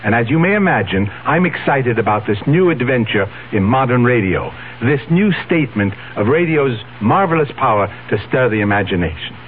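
A man's voice: medium pitch, lively intonation, fairly quick, few pauses. A man speaking as a radio producer, reading a scripted promotional announcement to listeners. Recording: muffled 1970s radio broadcast, faint hum.

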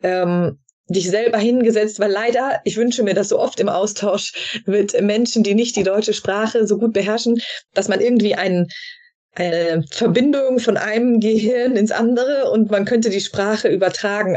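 A woman hosting a conversational podcast interview, phrasing her questions in a searching, halting way with trailing tags. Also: medium pitch, darkish tone. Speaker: podcast host interviewing a guest.

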